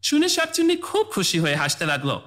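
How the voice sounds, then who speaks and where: A man's voice: sits high, wildly swooping pitch, fast talker, noisy background. A man narrating a scripted cartoon tale for children as the storyteller. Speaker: storyteller and channel host.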